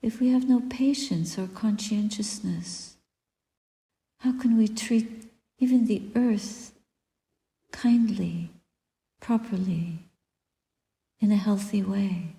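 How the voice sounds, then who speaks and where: A woman guiding a meditation as a Buddhist teacher, speaking softly and deliberately in short phrases with long pauses and breathy endings. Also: mid-range voice. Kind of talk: guided meditation, spoken dharma reflection. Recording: echoey room with long reverb.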